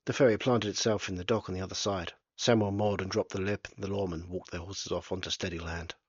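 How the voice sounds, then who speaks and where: Man, very deep voice, husky, plain unpolished reading of his novel, dry lo-fi sound. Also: steady tone, quick pace, dry recording. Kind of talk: storybook narration.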